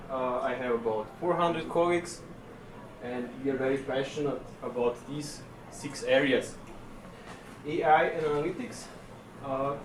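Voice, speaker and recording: A man from Serbia gives a technical conference presentation in a relaxed, chatty way, pausing often on fillers. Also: fairly high pitch. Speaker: conference speaker. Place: conference session room. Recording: echoey room, distant mic, room noise.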